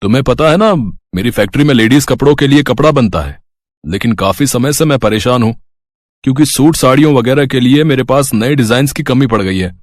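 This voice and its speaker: An actor playing a polite, businesslike factory owner who speaks calmly. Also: male voice, mid-range voice, brisk, no room sound, clean studio sound, natural voice. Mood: persuasive.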